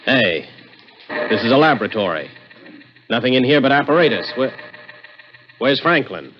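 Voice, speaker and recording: A man's voice: medium pitch, lively intonation, moderate pace, natural voice. Man acting a slick radio-drama schemer, muffled vintage sound. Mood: puzzled. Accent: American. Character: smooth-talking, scheming male opportunist, also the story's narrator. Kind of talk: early 1960s American radio suspense acting. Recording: muffled old radio broadcast, music behind.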